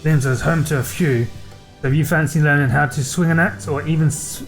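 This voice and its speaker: A man's voice: a little high, fairly even intonation, fairly quick, soft background music, close to an everyday voice. A man voicing a folksy, worldly seafaring merchant from a fantasy game, with salty, colloquial talk. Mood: folksy, advising. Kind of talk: reading game dialogue aloud.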